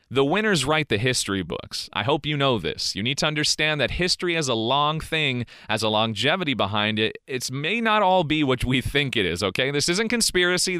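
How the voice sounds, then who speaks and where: A young man talks straight to his listeners in an earnest, preaching monologue, his pitch rising and falling a lot. His voice is bright and forward. The recording is dry and close, with no room sound. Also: clear, resonant tone, no echo. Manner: earnest, preachy, repeats rhetorical questions to the listener. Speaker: podcast host. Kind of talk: monologue on education and life.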